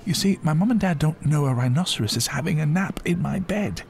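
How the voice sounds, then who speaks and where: Man doing an eager little schoolboy, pitched up. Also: animated, a little fast, vocal fry, a little hiss or hum. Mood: earnest, excited. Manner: bright, eager, keen questioning. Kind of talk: children's storybook character voice.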